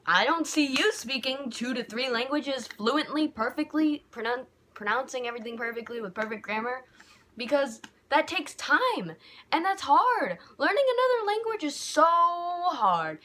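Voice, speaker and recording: A woman's voice: high-pitched, sing-song, moderate pace, sharp tone, slight background noise. A young American woman vlogging about her feelings in a candid, rambling way, full of "like" and restarts, growing heated when frustrated. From United States. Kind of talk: personal reflective vlog. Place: home bedroom by a window.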